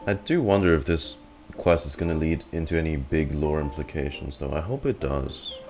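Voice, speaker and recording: A man's voice: rumbling low, normal speed, darkish tone, soft background music. A man giving casual live reaction commentary as a game streamer.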